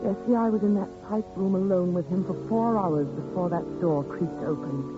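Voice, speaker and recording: A woman's voice: lowish voice, normal speed, close to an everyday voice. An actress playing a shaken woman who recounts a horror in a letter, in a 1940s radio drama. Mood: horrified. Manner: haunted, intense, dramatic delivery. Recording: tinny 1940s radio broadcast with music.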